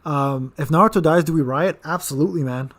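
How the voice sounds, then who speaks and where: A man's voice: a little high, fairly quick, fairly bright tone. A man in his thirties hosting a casual live-stream Q&A, rambling with repeated words and fillers. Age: 30s. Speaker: gaming channel host.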